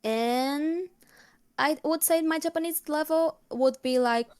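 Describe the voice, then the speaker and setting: A woman's voice: sits high, slow pace, a little mellow. A young woman from Brazil with a Brazilian Portuguese accent, a Portuguese native speaker chatting as an interview guest, often restarting and searching for words. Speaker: guest, K-pop cover singer. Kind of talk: casual interview chat.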